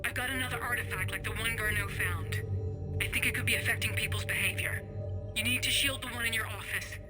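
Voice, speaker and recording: A woman's voice: fairly high pitch, fairly quick, music in the background. A woman playing a worried field researcher sending an urgent, anxious report over radio in a video game.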